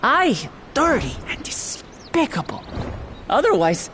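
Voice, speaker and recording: A woman's voice: high-pitched, very animated, slow pace, noisy background, full character voice. A woman playing a bold, boastful warrior in a video game, with a brash and confident manner. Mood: proud.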